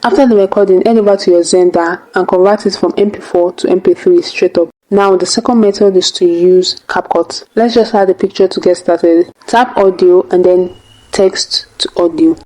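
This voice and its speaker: Low, mellow-voiced woman giving a tutorial. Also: fairly even intonation, moderate pace, poor audio. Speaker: tutorial host. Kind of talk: casual step-by-step tutorial narration.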